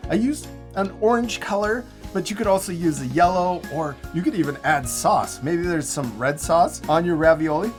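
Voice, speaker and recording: A middle-aged man, guiding children step by step through a drawing lesson with simple, encouraging instructions. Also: sits high. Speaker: host of a children's drawing tutorial.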